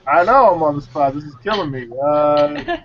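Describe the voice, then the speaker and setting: Guy with a slightly high voice, hesitant and self-mocking, chatting on a panel, bit lo-fi. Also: sing-song, moderate pace, creaky. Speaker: panelist on a music talk show. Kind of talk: casual chat. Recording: dull lo-fi sound.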